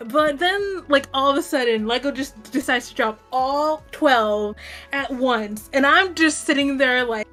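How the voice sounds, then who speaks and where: A woman's voice: sits high, a little slow, fairly bright tone, faint music behind. A young woman co-hosting a podcast in casual chat, telling a personal story expressively and acting out her own reactions. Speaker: podcast co-host.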